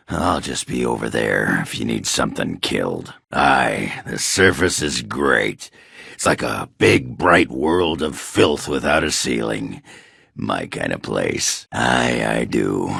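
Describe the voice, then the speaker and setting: An actor playing a gruff, crude, boozy male dwarf warrior in a video game, with a raspy growl and a coarse, blustering, grumbling manner. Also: extremely low pitch, sharp tone, dry recording, studio quality, full character voice. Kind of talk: video game character dialogue.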